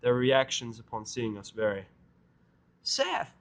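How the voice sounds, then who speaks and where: A male narrator reads a first-person fan story in a mid-pitched voice. His telling is sardonic, with a grumbling edge. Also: steady tone, a little fast.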